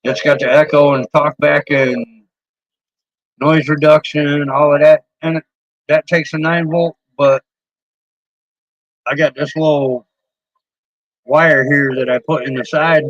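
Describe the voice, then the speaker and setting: A man's voice: a little high, little pitch movement, measured pace, long pauses, dry recording, highs cut off. A man rambling casually about his CB radio microphones, swearing freely and often restarting his sentences. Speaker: CB radio hobbyist streamer. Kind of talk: casual rambling show-and-tell.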